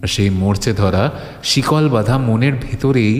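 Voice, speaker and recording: A man playing a grave first-person narrator of a horror audio story, solemn and halting, drawing out phrases for suspense. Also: fairly low pitch, soft background music, close to an everyday voice. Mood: grave, ominous. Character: grave male narrator recounting old forbidden horrors to his friend. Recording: long reverb on the voice.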